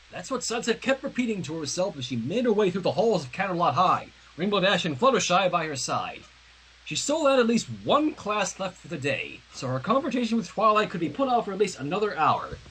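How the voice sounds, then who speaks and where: A man reading fan fiction aloud on a livestream, mixing animated narration with casual asides. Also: sits high, fairly quick, a little hiss or hum, rough recording.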